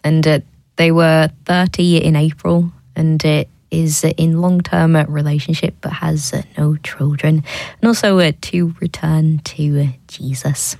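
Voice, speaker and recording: A woman hosting a prayer hour on the radio, reading out listeners' requests softly and hesitantly, with many fillers. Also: sits low, flat delivery, unhurried, gravelly, no echo.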